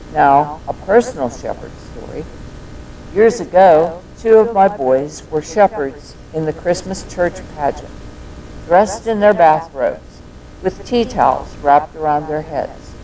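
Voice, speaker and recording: An older storyteller reads a prepared devotional reflection with personal reminiscence. The voice is dark and mellow, of middling pitch. A faint rumble sits underneath the recording. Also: low-quality recording.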